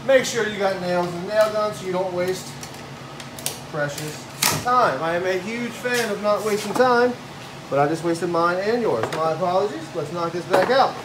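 A man's voice: high voice, moderate pace, background noise. Tired joking man in a garage. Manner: joking, self-mocking, talks while working. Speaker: vlogger building a squirrel table. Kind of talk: casual how-to woodworking vlog. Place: home garage workshop. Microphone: handheld phone camera.

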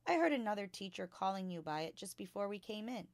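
A woman reading a storybook as a bright, earnest five-year-old schoolgirl, polite, precise and modest. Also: medium pitch, very animated, fast, no echo. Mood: hesitant.

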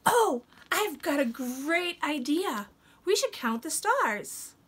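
A woman's voice: sits high, very animated, takes it slowly, fairly bright tone, voice pushed up, exaggerated voice. A woman voicing a stubborn little pigeon from a picture book, pleading against bedtime in a whiny, wheedling, dramatic way. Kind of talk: animated picture-book read-aloud. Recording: some room noise behind.

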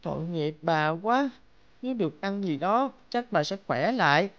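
A woman voicing a kind, naive and polite little village boy in an animated fairy tale. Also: lowish voice, moderate pace, muffled recording, close to an everyday voice. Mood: earnest, wondering. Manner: naive, polite, thinking aloud to himself. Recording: background music.

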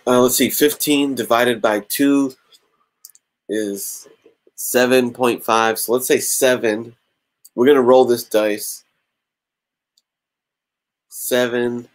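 A man in his thirties hosting a casual comic-collecting stream from a small room at home, chatting and counting entries aloud into a webcam mic. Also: mid-range voice. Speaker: YouTube comic channel host. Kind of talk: casual livestream raffle chat.

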